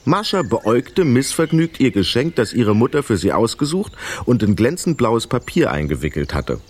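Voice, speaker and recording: A man's voice: medium pitch, very animated, normal speed, a little mellow. A man narrating a children's radio play as a scripted storyteller.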